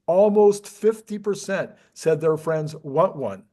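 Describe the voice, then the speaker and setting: Higher-pitched man hosting a casual interview. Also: takes it slowly, mellow. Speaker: interview host.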